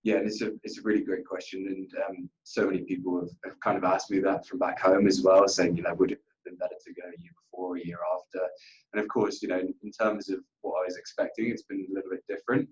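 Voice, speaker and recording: A man's voice: low-pitched, level tone, quick pace, highs cut off. A man answering questions in a workplace interview, earnest and conversational, often repeating himself and padding with "you know". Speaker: account manager being interviewed.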